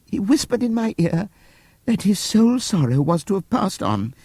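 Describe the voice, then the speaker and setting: A man's voice: high voice, sing-song, moderate pace, darkish tone, no room sound, pitched above the performer's own voice. A man voicing an oily, falsely tearful pig propagandist in an audiobook, mournful, theatrical and sanctimonious. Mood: mock grief.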